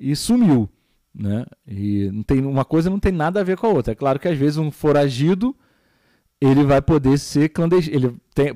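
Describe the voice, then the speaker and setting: A man's voice: a little high, dark tone, no echo, studio quality. A man with a Brazilian Portuguese accent, teaching a recorded geography lesson and explaining step by step, with many fillers and tag questions.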